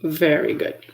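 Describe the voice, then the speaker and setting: Slow-talking woman from Brazil teaching English. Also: poor audio. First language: Portuguese. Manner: chatty, warm to viewers, many fillers and restarts. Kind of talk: casual livestream lesson.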